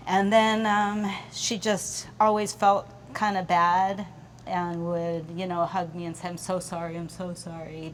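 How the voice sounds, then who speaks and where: A woman in her sixties with a slightly low voice talks earnestly as a podcast guest. Her tone is reflective, broken by light laughs, over a faint hiss. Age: 60s. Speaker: guest, mother. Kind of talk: casual podcast chat. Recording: faint background noise.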